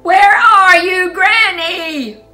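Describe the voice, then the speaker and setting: Woman acting a shrill, excited little girl, yelling. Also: extremely slow, soft background music, exaggerated voice. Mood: worried calling. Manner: loud, yelling, exclamatory. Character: brave, excitable little girl searching for granny.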